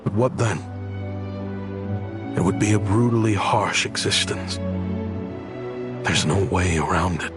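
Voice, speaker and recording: An actor voicing a weary adult man who looks after children, speaking in a thoughtful, wry, measured way. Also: low-pitched, over loud music. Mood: wistful. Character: weary adult man caring for orphaned kids. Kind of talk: video game dialogue.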